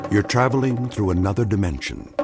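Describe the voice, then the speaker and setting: A man narrating a scripted radio drama in a solemn, measured, theatrical manner. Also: on the low side, loud music behind the voice.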